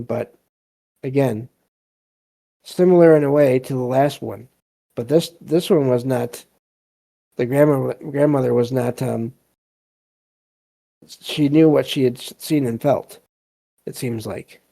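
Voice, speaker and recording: Male podcast host, slow and halting, with a mellow, gravelly voice for reflective story reading. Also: medium pitch. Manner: halting, hesitant, reflective delivery. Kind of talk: casual commentary and reading listener stories aloud.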